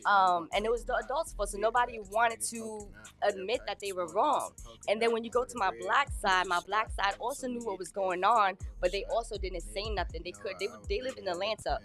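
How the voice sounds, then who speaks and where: A woman in her thirties telling her life story in an interview, candid and plain-spoken, with halting restarts. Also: medium pitch, a bit bright, soft background music. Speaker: guest. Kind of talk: personal testimony in an interview.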